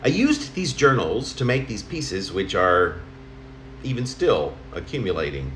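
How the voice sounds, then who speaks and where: A mature man with a fairly low voice reads his own poem in a very echoey room. His pitch moves around a lot as he goes, halting and conversational, like a personal letter read aloud. A faint noise sits behind him. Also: very animated, fairly bright tone. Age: middle-aged or older. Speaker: poet reading own work. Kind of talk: spoken poem reading in the form of a personal letter. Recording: roomy home recording, faint noise.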